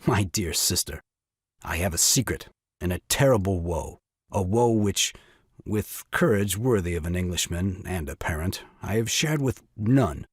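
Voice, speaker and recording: Guy acting a melodramatic put-upon dad in an old farce, pompous wordy rants, bit husky, dry close sound. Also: low voice, expressive, measured pace, no echo, full character voice. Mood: melodramatic woe. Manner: grandiloquent, melodramatic. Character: exasperated, self-pitying new father, pompous Englishman. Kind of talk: theatrical audio drama farce acting.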